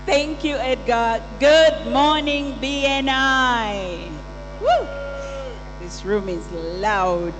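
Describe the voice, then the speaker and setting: A woman acting as event MC, playful and slow-paced, mixing several languages while greeting the crowd. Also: sits high, faint music behind. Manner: playful, switches between languages. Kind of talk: lively stage hosting. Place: large loud conference hall. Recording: reverberant hall with mains hum.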